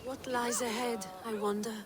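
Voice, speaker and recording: A woman's voice: a little high, little pitch movement, moderate pace, background noise, natural voice. A woman playing a romantic, seductive French noblewoman in a video game, speaking with a French accent in a wistful, poetic way. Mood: wistful, inviting. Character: yearning, seductive French noblewoman in Viking-age England. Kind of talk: dramatic video game acting.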